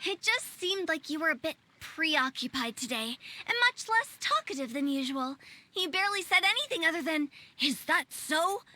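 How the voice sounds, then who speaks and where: A woman's voice: high-pitched, animated, normal speed, sharp tone. A woman playing a playful, teasing young funeral parlor director in a video game, chatty and theatrical. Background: faint music.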